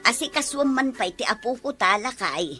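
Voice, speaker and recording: A woman playing an older Ilocano grandmother in a family audio drama, gently advising and nagging her household. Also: on the high side, lively intonation, moderate pace, over music, close to an everyday voice. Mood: homely, busy. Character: older Ilocano grandmother, consoling mother, chiding wife.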